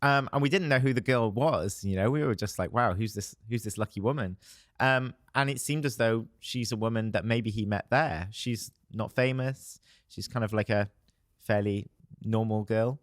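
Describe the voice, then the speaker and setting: A hoarse young man, a showbiz reporter telling festival stories in a chatty way and laughing often. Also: lowish voice, normal speed, dry recording, clean studio sound. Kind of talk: casual podcast chat.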